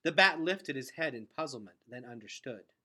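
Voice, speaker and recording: A man reading a story aloud in a dramatic, emphatic and expressive narrating style. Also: mid-range voice, moderate pace. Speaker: story reader.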